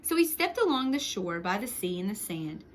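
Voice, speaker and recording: A woman reading a picture book aloud to young children, expressively, with short hushed asides to the listeners. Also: mid-range voice, normal speed, slight background noise. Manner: expressive, with hushed whispered sound words and asides. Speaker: storytime reader for young children.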